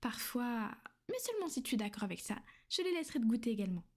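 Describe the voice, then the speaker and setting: Casting: a coaxing vampire lady, soft half-whispers, a touch high, voiced by a woman. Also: sing-song, fast. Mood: teasing. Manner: soothing, coaxing and possessive. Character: gentle, reassuring female vampire mistress. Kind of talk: ASMR roleplay.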